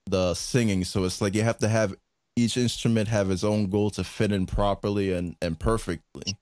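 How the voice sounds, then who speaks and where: A man with a fairly low voice explains his music-making in a halting, filler-heavy way. He restarts his sentences often, and the recording is dry and close. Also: fairly even intonation, a little mellow, dry recording. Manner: hesitant, many fillers and restarts. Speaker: music producer and streamer. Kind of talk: casual chat.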